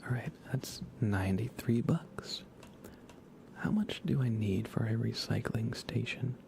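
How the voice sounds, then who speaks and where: A man whispering softly and playfully close to the microphone, giving relaxed ASMR commentary while he games and chats with viewers. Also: lowish voice, measured pace, slight background noise. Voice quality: soft, breathy whisper. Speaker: live streamer. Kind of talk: whispered ASMR game stream commentary with chat.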